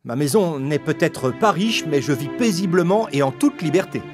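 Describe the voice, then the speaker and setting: A man voicing a small, excitable, expressive rat in a theatrical manner for a children's cartoon fable. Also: a little high, normal speed, music in the background, lightly acted. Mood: resolute. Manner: exclamatory, theatrical.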